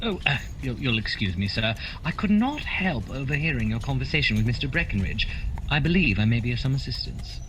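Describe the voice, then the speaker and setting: Guy acting a knowing gentleman detective, bright voice, very expressive, radio drama. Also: medium pitch, fairly quick, slight background noise, low-quality recording. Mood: confident, probing. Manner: knowing, precise, theatrical. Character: shrewd, commanding, self-assured male gentleman detective. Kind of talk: holiday radio play acting.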